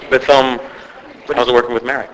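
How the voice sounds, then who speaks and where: A man's voice: mid-range voice, level tone, fast, rough recording. A man interviewing an actor for a film review site, chatty and enthusiastic, rambling with fillers. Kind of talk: casual press interview. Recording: crowd chatter behind.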